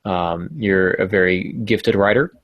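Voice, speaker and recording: A man's voice: low-pitched, a little slow, dry recording, lo-fi sound. A man hosting a podcast, talking informally and jokily as he gives writing advice. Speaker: podcast host.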